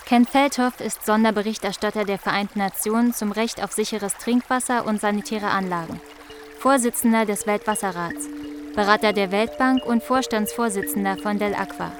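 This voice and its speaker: An actress playing a composed female reporter, narrating and interviewing in a measured, factual way for a radio drama. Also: medium pitch, over music, lightly acted.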